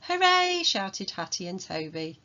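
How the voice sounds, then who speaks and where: Dull-sounding recording, low-voiced woman storyteller. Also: a little slow. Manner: expressive, acts out dialogue. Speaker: author reading own children's story. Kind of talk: children's storybook read-aloud.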